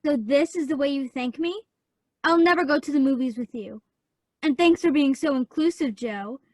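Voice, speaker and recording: A spirited younger sister in a radio play, acted by a woman, with a very high voice. She is petulant and sarcastic, artistic and quick to take offence. The sound is close and dry. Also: a little mellow, no room sound, natural voice. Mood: hurt, angry. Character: sulky, spirited young artist girl, sharp-tongued little sister.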